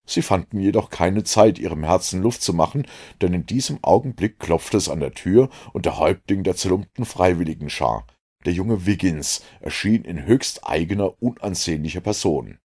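A male audiobook narrator with a very low, gravelly voice. He tells the story in an even way with a dramatic lift, his pitch moving widely and his tone husky. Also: moderate pace. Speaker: audiobook reader.

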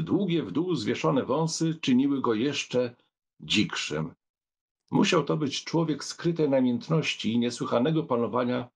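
A male audiobook narrator with a husky, gravelly voice of middle pitch. He reads literary prose expressively, in a measured storytelling manner.

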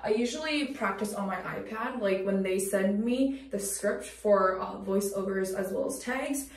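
A woman's voice: medium pitch, a little slow, distant mic. A young woman whose first language is Cantonese, chatting casually and informally as a vlogger in a small washroom, recorded on a camera a step or two away. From Canada, moved there while growing up. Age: young adult. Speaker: TV host and vlogger. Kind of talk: casual vlog chat. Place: small home washroom, indoors. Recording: echoey small bathroom.

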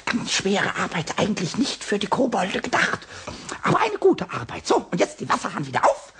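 A man playing a small, cheeky, childlike kobold in a radio play, with a squeaky cackling voice, stammered repetitions. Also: huge pitch swings, moderate pace, a bit bright, full character voice. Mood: busy, gleeful. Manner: stammering repetitions, childish exclamations, sing-song rhymes. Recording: noisy old radio recording.

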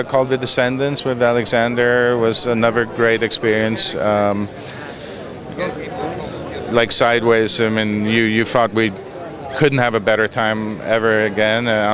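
A man in his forties, an award honoree, talking wryly and with hesitations in an outdoor red carpet interview. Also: on the low side. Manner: wry, relaxed, hesitant. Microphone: handheld mic. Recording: busy crowd outdoors.

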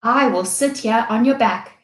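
A woman's voice: fairly high pitch, unhurried, a bit bright. A woman voicing a small, plucky female bird in a storybook, confident, teasing and firm. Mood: kindly, firm. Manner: calling out, kindly but firm. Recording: echoey room.

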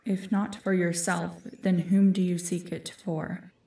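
Casting: actress for ancient female woodland guardian, fantasy audio drama, stern and formal, slow delivery, very reverberant distant sound. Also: lowish voice, flat delivery, dark tone. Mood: guarded. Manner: formal, commanding, measured.

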